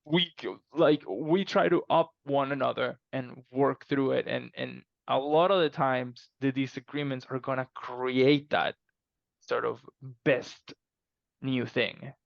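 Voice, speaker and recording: A man chatting casually as a podcast guest, explaining things in an animated, emphatic way and often repeating himself. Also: medium pitch, slow, vocal fry, dry recording, muffled recording. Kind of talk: casual conversational interview answers.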